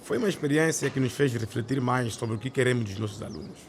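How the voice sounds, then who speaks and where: An actor playing a weary, authoritarian male schoolteacher in a radio drama, speaking in an expressive, schoolmasterly way. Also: mid-range voice, quick pace, a little hiss or hum, natural voice. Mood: earnest. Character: weary, authoritarian male schoolteacher, frustrated but open to change.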